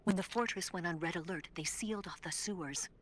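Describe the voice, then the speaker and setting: A woman's voice: on the low side, fairly quick, lightly acted. A woman playing a capable, secretive young female spy in a video game, urgently guiding an ally. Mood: serious, urgent. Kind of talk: video game cutscene acting.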